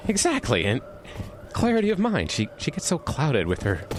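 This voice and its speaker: A man playing a freezing, earnest, flustered man in an audio drama, halting and muttering with stammered repetitions. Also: on the high side, wildly swooping pitch. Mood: earnest, pondering. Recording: noisy background ambience.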